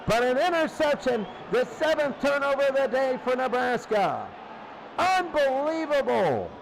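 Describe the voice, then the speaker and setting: A man calling football play-by-play live in an excitable, exclamatory way, often repeating phrases. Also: very high-pitched, measured pace, creaky, slight background noise, poor audio. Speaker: play-by-play sports commentator. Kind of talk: live American football commentary.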